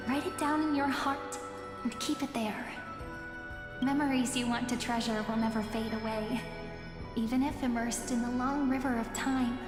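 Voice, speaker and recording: A gentle, wistful young woman played by an actress speaks earnestly in a high, tender voice, as in a game cutscene. Her words carry a strong echo over loud music. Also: lightly acted. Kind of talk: scripted game cutscene acting. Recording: echoey hall reverb under loud music.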